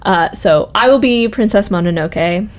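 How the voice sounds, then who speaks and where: Young woman, casual chat, enthusiastic, filler-heavy phrasing, medium pitch. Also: unhurried. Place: office.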